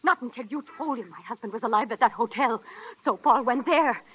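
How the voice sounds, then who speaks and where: An actress playing a frightened, emotional wife under suspicion in an old-time radio melodrama, pleading dramatically. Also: female voice, on the high side, a little fast, slightly rough. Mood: frantic, pleading. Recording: dull vintage radio recording.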